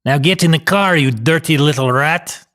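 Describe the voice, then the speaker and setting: A man voicing a brutal, bullying Italian mobster in an audio story, with a raspy accented voice and street-tough threats. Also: mid-range voice, animated, normal speed, voice pushed up, natural voice. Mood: furious.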